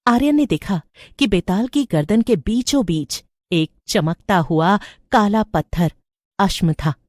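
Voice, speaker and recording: A woman's voice: medium pitch, animated, unhurried, a little mellow, no room sound, studio quality. A woman reading scripted narration for a horror story.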